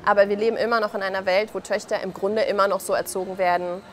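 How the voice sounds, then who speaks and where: A woman in her thirties, originally from Belarus, answers interview questions with passion. Her voice sits at an average female pitch. She talks emphatically and colloquially, often slipping into quoted remarks to make her point. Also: fairly even intonation, fast talker, a little hiss or hum. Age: 30s. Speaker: interview guest, author and journalist. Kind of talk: impassioned spoken interview answers.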